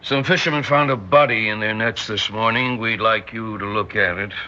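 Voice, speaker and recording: Brisk police officer, very bright sharp voice, dull old radio sound. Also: male voice, lowish voice, steady tone. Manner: blunt, matter-of-fact. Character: male police colonel, blunt, businesslike. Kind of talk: 1960s radio drama acting.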